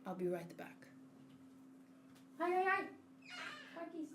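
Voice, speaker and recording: A woman's voice: very high voice, big pitch swings, slow, fairly bright tone, a little hiss or hum. A woman with a South African accent chatting casually on a game livestream from home. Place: room at home used for streaming.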